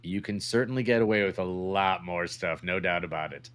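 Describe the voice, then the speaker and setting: A man's voice: deep voice, fairly quick, a bit bright, smooth. A man co-hosting a podcast with casual, joking banter. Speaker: podcast co-host.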